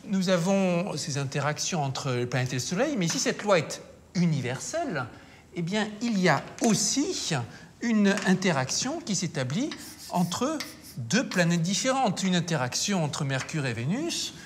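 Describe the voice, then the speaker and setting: A scientist explaining in a documentary, teaching step by step with some hesitations. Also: male voice, sits high, very animated, measured pace, a little hiss or hum.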